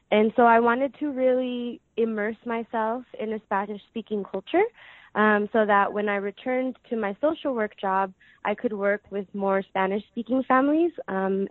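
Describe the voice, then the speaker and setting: Young woman, lots of ums, chatty and relaxed, dry phone-ish sound. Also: medium pitch, no echo. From United States. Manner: hesitant, many ums, soft laughs. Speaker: guest. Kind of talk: casual interview answers. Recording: dry tinny phone-like line.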